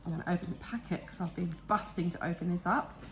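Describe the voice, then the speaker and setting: Croaky-voiced woman chatting through an unboxing. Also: on the low side, fast talker, a little hiss or hum, low-quality recording. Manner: chatty, enthusiastic, thinking aloud with ums. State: croaky voice, clearing throat. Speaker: art vlogger. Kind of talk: casual unboxing chat.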